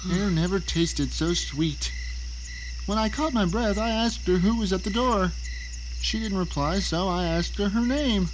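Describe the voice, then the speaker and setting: A man acts out a captive male novelist in an audio drama, recounting his ordeal in a theatrical, exclamatory way. He sounds panicked and indignant. The track is clearly noisy, with insect-like chirping behind the voice. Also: high voice, unhurried. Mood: tense recounting. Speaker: narrator and lead actor. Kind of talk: dramatic first-person audio drama narration.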